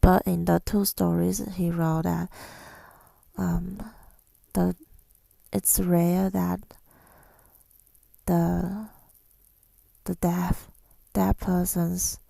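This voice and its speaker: A woman speaking in a soft, breathy whisper in an ASMR style, reflective and hesitant, pausing often, close to the microphone. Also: fairly low pitch, little pitch movement, no echo. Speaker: ASMR creator. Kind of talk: ASMR, rambling personal reflection.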